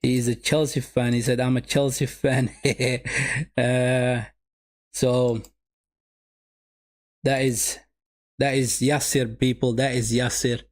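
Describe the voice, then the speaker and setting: A man's voice: mid-range voice, flat delivery, slow pace, pauses often. A man whose first language is Somali, running a live football watch-along and calling the game for his viewers, often repeating his phrases. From Sweden.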